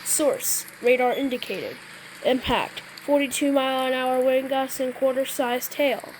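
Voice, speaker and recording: High-pitched woman playing out a scenario. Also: a little slow, noisy background. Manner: stumbles over read-out wording, often clears throat. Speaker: gamer playing out an emergency alert scenario. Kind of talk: casual gameplay role-play with improvised mock weather bulletins.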